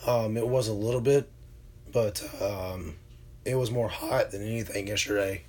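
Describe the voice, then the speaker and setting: A hoarse man from Alabama, casually chatting with viewers on his livestream and answering their comments, with lots of ums and uhs. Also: on the low side. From Alabama, USA. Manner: relaxed, drawn-out with many fillers. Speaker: livestream host.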